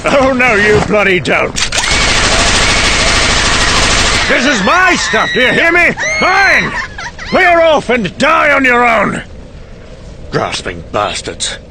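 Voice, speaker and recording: An actor playing a cynical, boozy British scientist delivering a sardonic, erudite monologue in an audio comic. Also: male voice, very high-pitched, wildly swooping pitch, slow, very noisy, heavily acted. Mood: furious, possessive. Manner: sardonic, erudite, with sudden bursts of temper.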